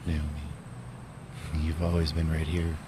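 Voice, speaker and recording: A man acting a calm, sinister voice inside someone's head in an audio horror drama, measured and coaxing. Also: extremely low pitch. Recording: faint background noise.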